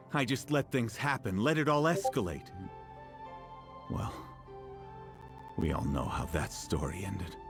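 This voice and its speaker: Older village man for a game: deep, regretful, gentle, weighed down by guilt. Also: creaky, full character voice. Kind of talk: video game voice acting. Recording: music playing behind the voice.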